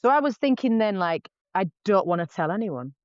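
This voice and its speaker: A woman's voice: mid-range voice, big pitch swings, fairly quick, dry recording. A woman, talking candidly as a podcast guest, chatty and frank with lots of restarts. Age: 40s. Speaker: podcast guest, face painter. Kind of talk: candid casual conversation. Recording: dull, dry lo-fi podcast audio.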